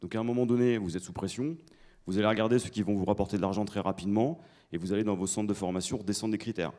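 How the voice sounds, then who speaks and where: Man, lowish voice, mellow tone, panel speaker, measured and emphatic, lecture hall. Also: fairly even intonation. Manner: measured, emphatic, polls the audience. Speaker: conference panelist. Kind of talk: explanatory lecture-style talk to an audience.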